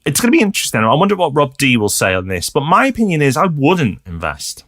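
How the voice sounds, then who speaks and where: British male co-host, animated pitch, emphatic explainer. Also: quick pace. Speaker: podcast co-host. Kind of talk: podcast advice chat.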